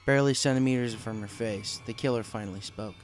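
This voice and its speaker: A man's voice: fairly low pitch, fast talker, faint music behind. A man reading a scripted story aloud as the narrator of a piece of fan fiction.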